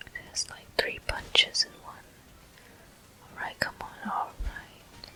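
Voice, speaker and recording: A person whispering softly and breathily into a close microphone, narrating gameplay step by step in an ASMR style. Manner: soft, unhurried, gently narrating each step. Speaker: gaming video host. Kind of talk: ASMR whispered game commentary.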